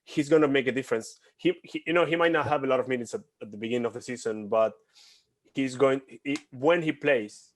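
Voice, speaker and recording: A man's voice: medium pitch, fairly quick, no room sound. A young man from Argentina co-hosting a basketball podcast over a video call, talking animatedly and repeating points for emphasis. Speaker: podcast co-host. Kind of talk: casual basketball chat. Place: home room, video call. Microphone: headset.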